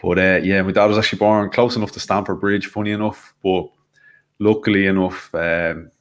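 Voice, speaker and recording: A man's voice: low-pitched, level tone, a little fast. A man with an Irish accent, a guest on a fan talk show, chatting casually and joking about himself. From Ireland. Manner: self-deprecating, chatty, frequent restarts. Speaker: podcast guest. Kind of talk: casual chat.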